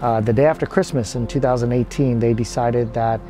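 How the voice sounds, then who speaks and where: A man's voice: medium pitch, brisk, faint music behind. A man in his forties, a pregnancy center leader, explaining steadily in a seated interview inside a parked van. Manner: steady, plain explaining with fillers.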